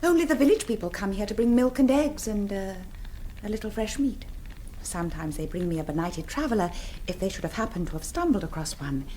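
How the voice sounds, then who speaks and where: An actress playing a languid, melancholy young countess of vampire blood in a theatrical seventies radio drama. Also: female voice, mid-range voice, animated, brisk, warm tone, a little hiss or hum, natural voice. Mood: eager, gracious. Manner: theatrical, incantatory, swooning. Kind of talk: 1970s radio drama acting.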